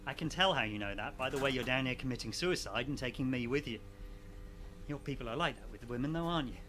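A man's voice: mid-range voice, lively intonation, fast, sharp tone, a little hiss or hum. A man in a radio play acting a sardonic, sweary, exasperated geology researcher who is trapped and argumentative. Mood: sarcastic, fed up.

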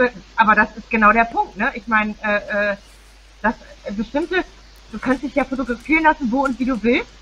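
A middle-aged woman co-hosting a casual chat from her living room on a phone handset, relaxed, with fillers and trailing sentences. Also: a little high, a little fast, highs cut off, slight background noise. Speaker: co-host. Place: home living room, indoors.

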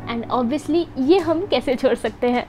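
A young woman's high voice runs through a chatty, upbeat voice-over for her vlog. She talks straight to the viewers and keeps things friendly. Faint music plays behind her. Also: unhurried, warm tone. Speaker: food and event vlogger. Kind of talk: voice-over narration mixing Hindi and English.

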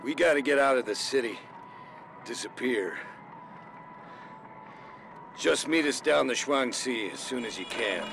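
An actor playing a jittery, volatile middle-aged criminal in a video game, agitated and swearing. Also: male voice, medium pitch. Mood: low, worried. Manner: agitated, profane. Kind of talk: video game cutscene acting. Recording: gunfire and city noise behind.

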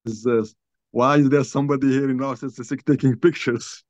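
A man's voice: mid-range voice, steady tone, a little mellow, no echo. Older man from Algeria on a video call. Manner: halting, restarts often, many you-knows. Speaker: guest author. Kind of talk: conversational storytelling. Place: small home room, indoors. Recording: dull lo-fi video call audio.

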